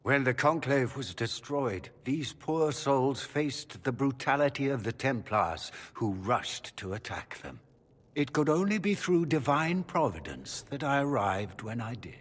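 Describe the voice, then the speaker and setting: A suave magister in a fantasy game, courtly and calculating. His husky voice rises and falls theatrically, with long reverb trailing behind. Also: medium pitch, sing-song, unhurried. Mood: smugly persuasive. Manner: courtly, smooth-mannered, theatrical. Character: suave, calculating male magister, father of grown son.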